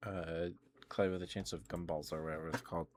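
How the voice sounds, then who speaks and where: Low voiced guy doing an asmr stream, slow halting rambly chat n whispers, bit of background noise. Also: little pitch movement. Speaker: ASMR livestreamer. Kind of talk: ASMR livestream, casual chat with whispered passages.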